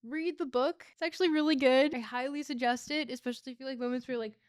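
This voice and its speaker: A woman's voice: high voice, fairly even intonation, fast, clean tone, dry recording, clean studio sound. A woman presenting a casual scripted video essay with a wry, slangy and emphatic delivery.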